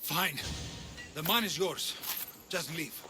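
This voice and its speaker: An actor playing an indignant Greek merchant in debt in a video game, pleading yet defiant. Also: male voice, a little high, takes it slowly, noisy background. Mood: bitter. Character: indignant male Greek merchant debtor, defiant but cowed. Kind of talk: cinematic video game acting.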